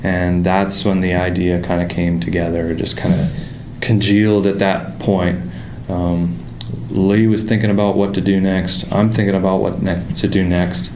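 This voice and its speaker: Deep-voiced man with a Pittsburgh accent. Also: moderate pace, few pauses, echoey room, slight background noise. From Pittsburgh area, United States. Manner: relaxed storytelling with many fillers and restarts. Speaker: motorcycle designer, guest. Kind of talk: casual interview conversation.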